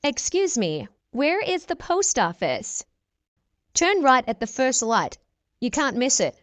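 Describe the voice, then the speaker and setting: A woman English teacher reads everyday dialogue lines for learners, slowly and clearly. Her voice sits high and swings widely in pitch. She repeats each exchange at an unhurried pace. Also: sing-song, no echo. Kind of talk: reading practice dialogues for learners.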